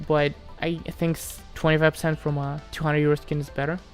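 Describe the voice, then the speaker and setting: A man's voice: on the high side, flat delivery, a little fast. Casual male streamer from Moldova, music behind. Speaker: live streamer answering chat questions. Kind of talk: casual livestream chat.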